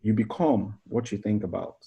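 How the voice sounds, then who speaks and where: A man's voice: fairly low pitch, moderate pace, creaky, lo-fi sound. A middle-aged man giving an informal motivational class as a life coach, earnest and conversational, often restarting phrases and asking rhetorical questions.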